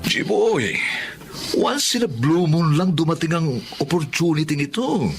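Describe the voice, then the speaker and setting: Pushy young pal, swooping highish voice. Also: male voice, sing-song, a little slow, gravelly, over music, exaggerated voice. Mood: exasperated. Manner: insistent, coaxing, emphatic. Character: pushy, exasperated young male pal urging his cousin. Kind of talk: radio drama acting.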